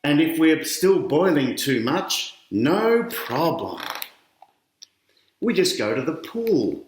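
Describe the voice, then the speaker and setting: A man's voice: fairly high pitch, very animated, slow pace. A middle-aged Australian man reading a picture book aloud to children, chatting to his young listeners along the way. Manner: addresses children directly with questions and explanations. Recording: roomy, echoey indoor sound.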